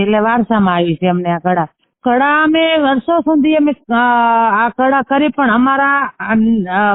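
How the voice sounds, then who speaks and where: A woman's voice: on the high side, dry recording. A woman with a Kutchi Gujarati accent giving an earnest, spontaneous personal account, repeating phrases as she goes. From Kutch, Gujarat, India. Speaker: artisan entrepreneur, interviewee. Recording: narrow tinny phone-like line.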